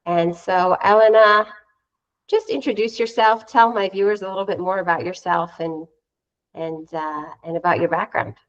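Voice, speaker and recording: A woman's voice: on the low side, fairly even intonation, normal speed, muffled recording. A woman hosting an interview, introducing her guest in a conversational way. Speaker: interview host.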